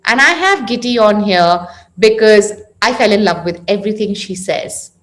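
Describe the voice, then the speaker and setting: A woman's voice: mid-range voice, lively intonation, moderate pace, a bit bright. A woman hosting an interview, speaking in a conversational, welcoming way as she introduces things. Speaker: interview host, founder of a parenting series.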